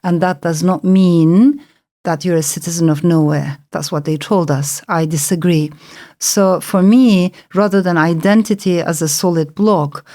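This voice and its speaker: A middle-aged woman who grew up in Turkey, speaking English as a later language and answering questions at an unhurried, reflective pace. Her voice sits a little low, and she pauses and restarts as she searches for the words. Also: steady tone, warm tone. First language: Turkish. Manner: thoughtful, searching, with frequent fillers and restarts. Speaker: novelist guest.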